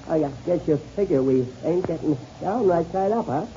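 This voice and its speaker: An actor playing a fretful, stubbornly loyal airplane sidekick in a 1930s radio serial, stammering in folksy, ungrammatical speech. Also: male voice, on the high side, expressive, normal speed, dark tone. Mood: indignant, stubborn. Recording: dull, noisy old radio recording.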